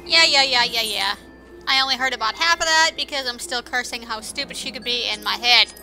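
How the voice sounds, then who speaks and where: A woman's voice: a little high, fairly quick, bright, forceful tone, poor audio. A woman giving casual video game commentary as host, with sarcastic asides, over music. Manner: sarcastic, mildly profane asides.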